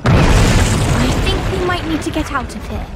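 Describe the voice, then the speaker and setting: A childlike girl in a video game, watchful and quick to scold. Her voice is very high and lively, cautioning in a matter-of-fact way over music. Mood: alert, worried.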